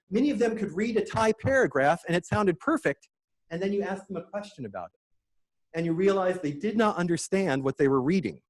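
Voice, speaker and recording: A man with a high, light voice presents at a conference, eager and quick to make a small joke. He sounds middle-aged and talks the audience through his slides. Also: low-quality recording. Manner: enthusiastic, lightly joking. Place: conference room.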